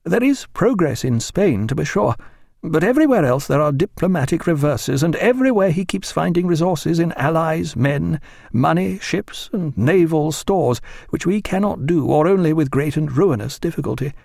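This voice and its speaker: A man narrating plays a bookish Admiralty official in a historical naval audiobook. The voice sits raised in pitch, and he speaks with formal, deferential precision and an anxious courtesy. The recording is dry. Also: lively intonation, normal speed, vocal fry, darkish tone, no room sound. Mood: grave, worried.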